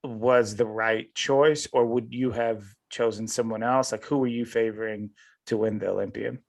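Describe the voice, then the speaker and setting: A man's voice: lowish voice, steady tone, creaky. A man hosting a casual interview, feeling his way through questions and often rephrasing them. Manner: halting, rephrases questions as he goes. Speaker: podcast host, interviewer.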